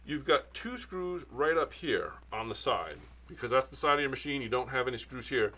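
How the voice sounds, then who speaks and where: A man's voice: mid-range voice, expressive, moderate pace, sharp tone, slight background noise. Rambling jokey man demonstrating machine repair. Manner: rambling, jokey, easily sidetracked. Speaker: sewing machine instructor. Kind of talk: casual demo with banter.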